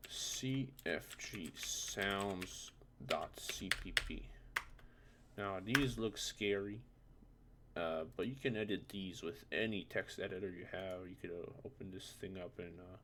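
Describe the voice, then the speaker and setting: A man who is a non-native English speaker, presenting a casual, unscripted software tutorial in an informal, self-deprecating way with muttered asides. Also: low-pitched, noisy background. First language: not English.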